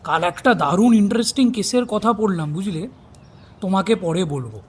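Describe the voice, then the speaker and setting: An actor playing an older, bookish retired detective in an audio drama, a husky-voiced husband with a teasing, easygoing way of talking. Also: male voice, high-pitched, normal speed. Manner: teasing, expressive.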